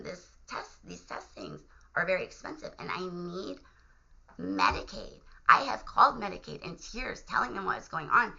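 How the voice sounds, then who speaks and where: A hoarse woman from Virginia making an urgent, emphatic appeal straight to camera, sometimes dropping to a whisper. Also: low voice, sharp tone. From Virginia, USA. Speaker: vlogger. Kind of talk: impassioned direct-to-camera appeal. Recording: dull lo-fi recording.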